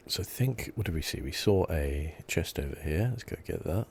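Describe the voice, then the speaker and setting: A man giving soft-spoken ASMR commentary as he plays a game, hushed, relaxed and meandering, close to the mic. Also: sits low, normal speed, gravelly, slight background noise. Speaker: ASMR gaming host.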